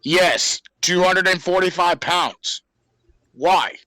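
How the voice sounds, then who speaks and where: Male co-host, casual wrestling talk. Higher, forceful voice, matter-of-fact with a touch of exasperation. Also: slow pace, dry recording. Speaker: podcast co-host.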